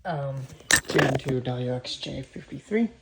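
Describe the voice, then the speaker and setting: A man giving an informal, halting show-and-tell narration as a radio hobbyist. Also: medium pitch, animated. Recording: clearly noisy with clicks. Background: weather radio playing.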